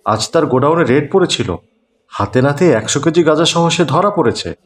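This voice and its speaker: A man's voice: fairly high pitch, expressive, normal speed, close to an everyday voice. A man voicing an upright, moralising father in a cartoon. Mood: grave, accusing. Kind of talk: Bangla cartoon dubbing.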